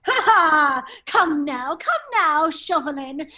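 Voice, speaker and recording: A foppish nobleman adventurer, played by a woman in a radio drama table read. The voice is very high and unhurried. The manner is teasing and sly, with little stammers and chuckles. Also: animated, pushed and bright, full character voice. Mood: playful menace. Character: foppish, laughing English nobleman, a cunning hero in disguise. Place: remote virtual table read.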